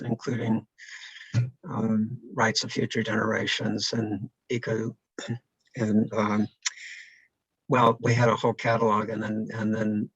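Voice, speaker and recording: A man's voice: mid-range voice, fairly bright tone, husky. A man making hesitant remarks in an online seminar, with many fillers. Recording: dull video call audio.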